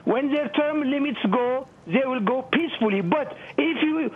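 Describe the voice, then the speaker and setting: A man's voice: very high-pitched, normal speed, slightly rough. A man from Ethiopia with an Ethiopian accent, giving an impassioned opinion as a caller to a talk show over the telephone. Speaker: phone-in caller. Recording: muffled, thin telephone line.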